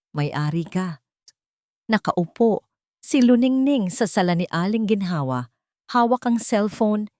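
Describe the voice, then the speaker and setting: A woman's voice: mid-range voice, a little mellow, no room sound, clean studio sound. A woman narrator reading a sentimental story as dramatic scripted narration.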